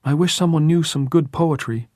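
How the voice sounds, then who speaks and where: A man voicing an animated, brittle adolescent schoolboy in an audiobook, with eager, urgent speech. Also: fairly high pitch, normal speed, no echo, studio quality, voice pushed up, lightly acted. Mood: grieving. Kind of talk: audiobook character voice.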